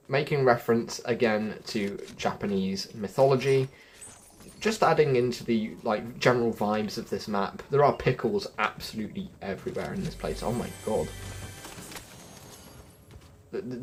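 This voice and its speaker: A man's voice: medium pitch, a little hiss or hum. A man giving casual gameplay commentary as a video host, informal and a little stumbling in how he talks.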